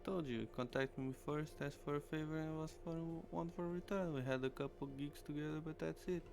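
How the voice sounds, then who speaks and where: A man's voice: fairly high pitch, fairly even intonation, fairly quick, darkish tone, faint music behind, voice pushed up. A man playing a casual, loyal, defensive streetwise character in a visual novel. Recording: clearly noisy stream with hum.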